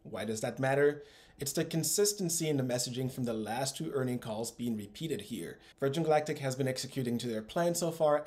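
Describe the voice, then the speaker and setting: A man in his thirties speaks to camera as the host of an investing channel. His pitch sits in a middle range, and his scripted delivery is upbeat, with emphatic phrasing. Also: darkish tone. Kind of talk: scripted video commentary to camera. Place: small room at home, indoors.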